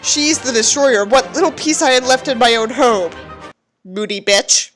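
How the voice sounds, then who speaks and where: A woman's voice: on the high side, moderate pace, a bit bright, soft background music, close to an everyday voice. A woman voicing a bitter, self-pitying young narrator in a sneering, melodramatic dramatic reading of a novel. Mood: resentful, scornful.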